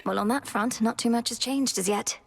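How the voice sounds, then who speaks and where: A woman's voice: on the high side, brisk, creaky, husky. A woman voicing an earnest young witch princess who speaks formally in a studio game. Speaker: game character.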